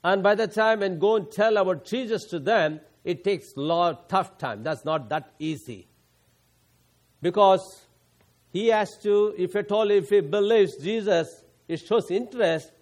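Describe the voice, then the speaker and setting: High-voiced Indian man preaching. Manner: earnest, halting phrasing with many restarts. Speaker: visiting pastor. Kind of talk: sermon to a church congregation. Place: church.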